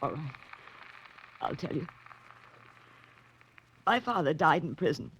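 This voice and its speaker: A woman's voice: low-pitched, sing-song, slow pace, fairly bright tone, slightly rough, full character voice. A woman playing a guarded, desperate woman in her thirties in a 1940s radio melodrama, with a dramatic delivery. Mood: resigned, confessing. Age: 30s. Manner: dramatic, haughty turning to pleading. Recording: faintly noisy vintage 1940s radio recording.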